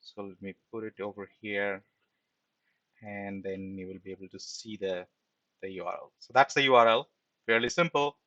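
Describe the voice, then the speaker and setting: A man's voice: on the low side, steady tone, normal speed, bright, forceful tone, dry recording, highs cut off. A man giving an informal screen-share programming tutorial as an instructor, explaining step by step and often checking in with a short tag question.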